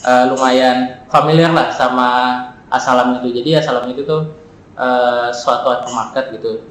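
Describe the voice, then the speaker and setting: A man in his twenties with a Jakarta accent, chatting as a podcast guest in a relaxed, halting way with frequent "eh" fillers and chuckles. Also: mid-range voice, very echoey, a little hiss or hum, rough recording. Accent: Jakarta Indonesian. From Jakarta, Indonesia. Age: 20s. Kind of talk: casual podcast chat.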